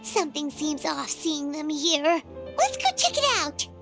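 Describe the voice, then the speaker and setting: An actress voicing a small floating fairy companion, curious and chatty, in a video game. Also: female voice, high voice, creaky, over music, full character voice. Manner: lively, quick to comment.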